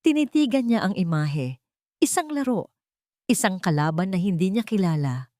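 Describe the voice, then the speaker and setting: A woman's voice: mid-range voice, expressive, normal speed, warm tone, dry recording, studio quality. A woman with a Filipino accent narrating a dramatic scripted story.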